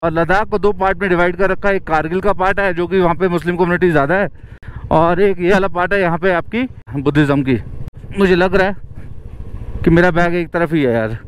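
A young man casually narrating a travel vlog outdoors while riding a motorbike, chatty and excited. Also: sits high, brisk, a little hiss or hum, low-quality recording. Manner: chatty and excited, keeps saying "yaar". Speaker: travel vlogger. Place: outdoors, riding a motorbike through a mountain valley.

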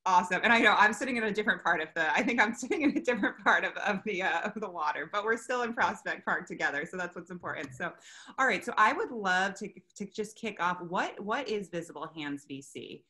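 Bright, bubbly young woman hosting. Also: medium pitch, expressive. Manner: bubbly, enthusiastic, quick restarts. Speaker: interview host. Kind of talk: upbeat casual interview chat. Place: outdoors in a park by water. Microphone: video call webcam.

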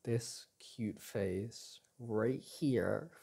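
Doting boyfriend, male actor, ASMR roleplay: soft, breathy, dark-toned, unhurried, tender and reassuring. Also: lowish voice, full character voice. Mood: playful affection. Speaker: audio roleplay performer.

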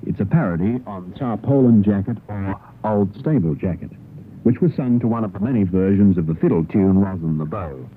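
An Australian man presenting a radio programme, reading a scripted narration about folk songs. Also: fairly low pitch. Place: radio broadcast. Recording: narrowband, noisy 1960s shortwave radio broadcast.

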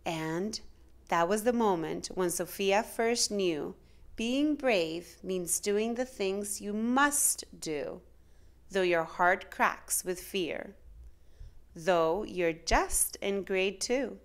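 A woman reading a children's picture book aloud in a dramatic, measured, expressive way. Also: mid-range voice. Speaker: children's storytime reader.

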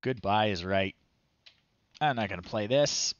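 A middle-aged man giving casual, low-key live commentary as he plays retro games, often muttering to himself. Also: a little slow, no room sound, highs cut off. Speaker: livestream host playing retro games. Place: small room at home, indoors.

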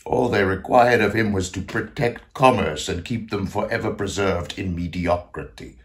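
An actor playing an aged, grandiose Italian composer near death, confiding in a theatrical stage monologue with a creaky voice and self-mocking manner. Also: male voice, sits low, moderate pace, lightly acted. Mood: confiding, wry. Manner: theatrical, declamatory, swinging to hushed asides.

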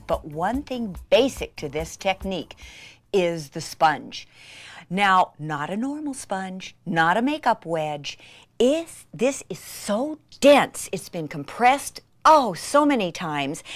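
Excitable woman crafter with swooping intonation. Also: mid-range voice, slow pace, dry recording, soft background music. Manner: excitable, gushing, dramatic emphasis. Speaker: craft instructor and presenter. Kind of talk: chatty craft tutorial demonstration.

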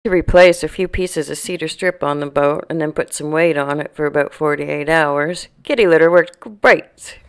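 A woman narrating a boat restoration vlog in a relaxed, matter-of-fact way, recorded in a home garage. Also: low-pitched, moderate pace, hardly any pauses, clean tone. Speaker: vlogger. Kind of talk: casual narration and chat.

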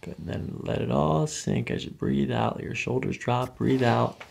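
A middle-aged man speaking as a chiropractor, calmly and gently guiding a patient step by step in a reassuring, gravelly way. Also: medium pitch, normal speed, heavy background noise. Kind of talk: calm step-by-step instruction to a patient during treatment. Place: small office treatment room, indoors. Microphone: microphone on boom arm near the table.

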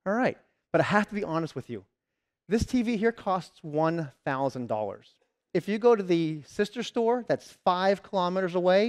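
A man's voice: fairly high pitch, darkish tone. A man in his thirties giving a conference keynote on a large stage through a headset mic, telling stories and acting out customers and salespeople. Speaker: keynote speaker.

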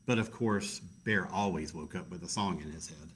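A man's voice: low-pitched, moderate pace, fairly bright tone, a little hiss or hum. A man reading a picture book aloud to children in a casual, chatty way, with self-deprecating asides. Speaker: library storytime reader.

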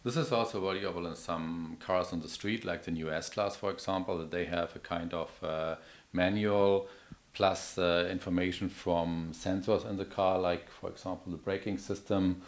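Male demonstrator, deep voice, explaining a product off the cuff, hesitant and step by step. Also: steady tone, normal speed. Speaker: product demonstrator, account manager. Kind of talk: unscripted product demo. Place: indoor office or showroom.

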